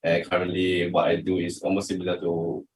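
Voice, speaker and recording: Very deep, flat-voiced male co-host. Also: a little fast, a little hiss or hum. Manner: plain, filler-heavy. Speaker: co-host, senior analyst. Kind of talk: casual self-introduction.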